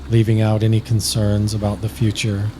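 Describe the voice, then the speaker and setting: Male sleep-meditation narrator. Flat, unhurried, soothing read with long pauses, over clearly audible ambient noise. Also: lowish voice. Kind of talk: scripted guided sleep meditation.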